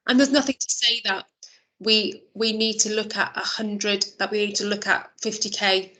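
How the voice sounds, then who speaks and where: A woman's voice: mid-range voice, flat delivery, normal speed, bright, forceful tone. A woman chairing an online meeting, thinking aloud with fillers and restarts, her voice a little worn. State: losing her voice. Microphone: video call.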